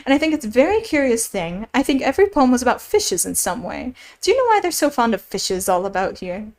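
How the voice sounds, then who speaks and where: A well-mannered storybook girl speaks politely, a little hasty and slightly nervous, as a woman reader puts her on. Her voice is lightly raised and bright. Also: on the high side, very animated, fast.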